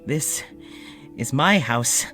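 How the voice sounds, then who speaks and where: Casting: a frayed, exhausted doctor in a horror audio drama, slow, faltering, pleading. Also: male voice, mid-range voice, lively intonation, faint music behind, exaggerated voice. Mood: weary. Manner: halting, weary, pleading, breaks into shouting. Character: exhausted, anxious male doctor keeping an audio journal.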